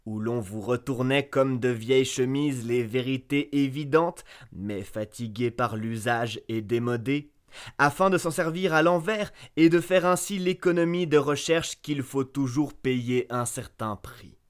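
A man reading a fairy tale aloud as a storyteller, with expressive narration that lifts sharply on key words. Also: medium pitch, unhurried, clear, resonant tone.

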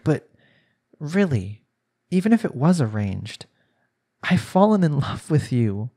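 A man's voice: mid-range voice, warm tone. A young man playing a jealous, lovestruck husband in an intimate romantic audio roleplay, speaking in an earnest, pleading way. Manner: earnest, pleading, sighing delivery.

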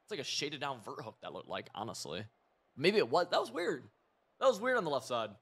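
A hoarse young man giving rambling, excitable live commentary over a football video game, mixing coaching tips with side stories. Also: mid-range voice, fairly quick. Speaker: gaming video creator.

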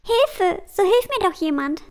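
A woman's voice: extremely high pitch, sing-song, measured pace, darkish tone, exaggerated voice. A woman playing a panicked, pleading talking speck of light in a fantasy audio drama. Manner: urgent, pleading.

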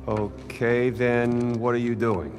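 A man's voice: mid-range voice, little pitch movement, takes it slowly, over music, close to an everyday voice. A man playing a scrappy, irreverent middle-aged adventurer in a video game, casual and wisecracking. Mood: incredulous. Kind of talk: naturalistic video game cutscene acting.

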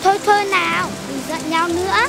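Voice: very high voice, fairly even intonation, a little fast. An actor voicing a kind, eager young animal in a children's cartoon, coaxing and exclaiming in a childlike way. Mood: soothing. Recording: music bed, rain sounds behind. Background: music and rain.

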